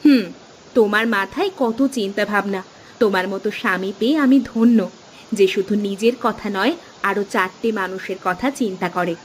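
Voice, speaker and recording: A woman voicing a devoted, teasing traditional village wife in a cartoon, homely and expressive. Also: mid-range voice, moderate pace, slight background noise, close to an everyday voice, low-quality recording. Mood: thoughtful, admiring. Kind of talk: cartoon dialogue acting.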